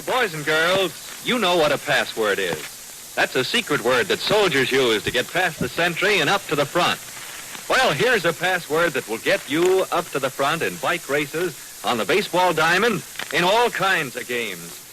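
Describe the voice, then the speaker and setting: A man pitches to youngsters in the style of a 1940s radio commercial. His voice is bright and forceful, with very lively intonation and folksy enthusiasm. It sounds like an old radio recording with some faint noise. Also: normal speed, poor audio. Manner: enthusiastic, folksy salesman delivery. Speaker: radio advertisement announcer.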